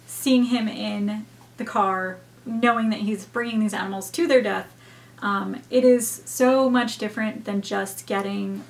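Young woman reacting, thoughtful and hesitant. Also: medium pitch. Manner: thoughtful, hesitant, many fillers. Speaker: reaction video host. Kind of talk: casual reaction commentary. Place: home room with bookshelves.

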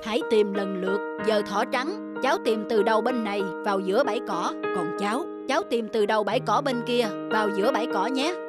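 A woman voicing a wise, kindly, patient older owl in a cartoon dub, calm, measured and instructive. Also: fairly high pitch, lightly acted. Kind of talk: cartoon dubbing. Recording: loud music under the voice.

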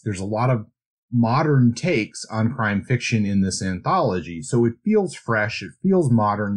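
A man's voice: lowish voice, a little mellow. A man co-hosting a podcast, talking through books in a casual, reflective and explanatory way. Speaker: podcast co-host. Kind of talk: casual book discussion.

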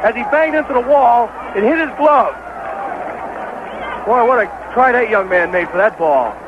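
High-pitched American baseball radio announcer, male. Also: normal speed. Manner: excitable, partisan home-team caller. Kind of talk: 1970s live radio sports commentary. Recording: dull, noisy vintage radio broadcast.

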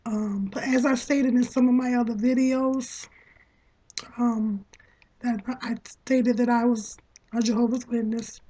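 A woman's voice: on the high side, flat delivery, a little slow, mellow, poor audio. A woman in her thirties with a cold, talking to her laptop webcam at home in a rambling way, full of ums and repeats. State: has a cold, hoarse voice. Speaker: vlogger. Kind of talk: casual talk to camera. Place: small room at home, indoors. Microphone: laptop webcam at desk.

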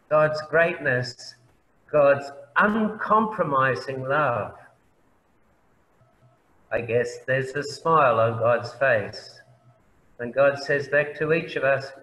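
An Australian man, a priest, giving a gentle, reverent sermon. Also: mid-range voice. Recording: echoey room, faint background noise.

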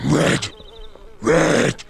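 A man voicing a surly, slow-witted bull in a video game, blunt, halting and grumbling. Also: slight background noise.

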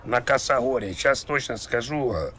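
A man's voice: mid-range voice, animated, normal speed, pushed and bright, a little hiss or hum. A man playing a confused stranded father and driver on a prank call, rambling and repeating himself hesitantly. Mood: rambling, earnest. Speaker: prank caller, game streamer.

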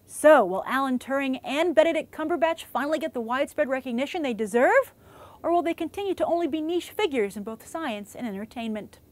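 A woman's voice: high-pitched, animated, brisk, darkish tone, no echo. A young woman hosting a film web show, reading scripted movie news as a presenter. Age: young adult.

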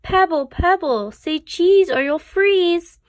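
A woman voicing excited penguin relatives in a children's storybook, calling out loudly to a little one. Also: takes it slowly, dry recording. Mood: cheerful calling. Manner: loud, high calling.

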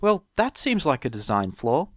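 Man putting on a high, prim female pony medic. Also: sing-song, moderate pace, a bit bright, no room sound, studio quality. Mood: concerned, exasperated. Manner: prim, exasperated. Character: Velvet Remedy, ladylike female pony medic, bossy, scolding. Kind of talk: audiobook character voice.

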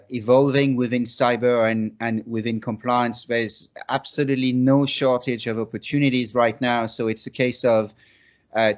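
A man in his forties with a French accent, giving a conference talk over a video call, hesitant with many restarts. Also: medium pitch. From France. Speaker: conference speaker. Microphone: video call laptop mic. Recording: dull lo-fi video call audio.

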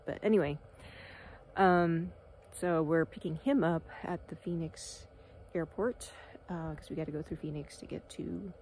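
A woman's voice: fairly low pitch, dark tone, a little hiss or hum, low-quality recording. A woman in her forties, a wellness guide, chatting casually to her phone camera outdoors, rambling with many fillers and sometimes dropping to a whisper. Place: outdoors at a ranch beside a travel trailer. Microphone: phone held at arm's length.

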